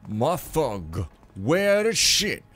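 A man's voice: mid-range voice, huge pitch swings, extremely slow, fairly bright tone, soft background music. A man giving casual, rambling commentary while playing a stealth game, full of sarcastic jokes and asides. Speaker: let's play commentator.

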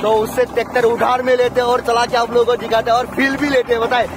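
A young man vlogging outdoors in rice paddies, talking excitedly and directly to viewers, filmed on a phone at arm's length with music underneath. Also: very high voice, fast, over a noisy crowd. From Assam, India. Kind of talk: casual vlog talk to camera. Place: outdoors in rice paddy fields. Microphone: phone camera held at arm's length.

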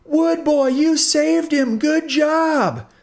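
A man voicing a frantic, excitable talking earbud in a children's storybook, shrieking in a panicky way. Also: very high-pitched, drawn-out words, vocal fry, voice pushed up, exaggerated voice. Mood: excited.

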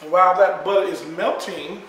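A middle-aged man co-hosting a home cooking demonstration, talking in a relaxed, playful way with many fillers and repeated words. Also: high voice, moderate pace, a little hiss or hum. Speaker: cooking show co-host. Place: home kitchen, indoors. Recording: echoey kitchen, faint ambience.

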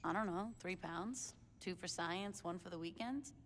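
An actress playing a laid-back young stoner gang lieutenant in a video game, casual, flippant and slangy. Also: female voice, on the low side, normal speed, lightly acted. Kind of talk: video game cutscene acting. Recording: long hall reverb, faint noise.

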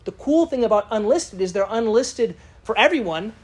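A woman's voice: fairly high pitch, expressive, moderate pace, breathy edge. A woman giving a live lecture to a conference audience in a casual, chatty way, often asking the room questions. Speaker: conference speaker.

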